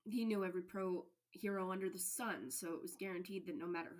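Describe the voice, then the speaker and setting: Woman reading fanfic out loud, lowish voice, expressive storytelling. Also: fast talker. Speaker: fan fiction podfic reader.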